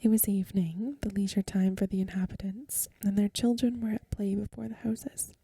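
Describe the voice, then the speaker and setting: A woman reading a story aloud in a soft, breathy, mostly whispered ASMR style, gentle and unhurried, close to the microphone. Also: medium pitch, level tone, no echo. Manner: gentle, unhurried, literary narration.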